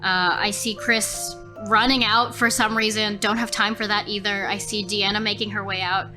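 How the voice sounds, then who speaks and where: A woman's voice: medium pitch, fairly even intonation, normal speed. A woman improvising horror story narration as a player in a tabletop game, thinking aloud expressively. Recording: music behind the voice.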